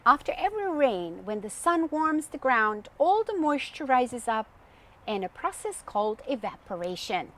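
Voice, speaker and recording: A woman voicing a kindly, knowledgeable storybook uncle who explains things patiently and deliberately. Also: a little high, sing-song, fairly bright tone. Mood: patient, instructive.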